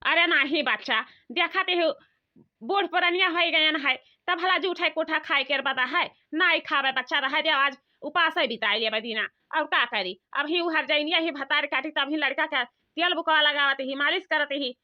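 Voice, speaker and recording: A woman's voice: sits high, moderate pace, close to an everyday voice. A woman playing a grumbling, hungry old village mother-in-law in a comic cartoon, rambling and complaining with an Awadhi accent. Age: older. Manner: complaining, rambling rustic talk. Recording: dull lo-fi sound.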